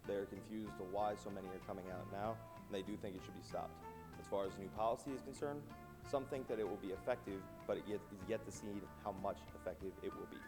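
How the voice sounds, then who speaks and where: A young man hosting a campus street interview, reading his questions formally. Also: fairly low pitch. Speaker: student interviewer. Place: outdoors on a college campus. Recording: music playing underneath.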